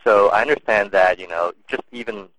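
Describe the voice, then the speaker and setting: A man's voice: fairly low pitch, fairly quick, a bit bright, no echo, low-quality recording. A man answering interview questions in a casual, thoughtful way, with many fillers and restarts. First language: English and Cantonese. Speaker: interview guest.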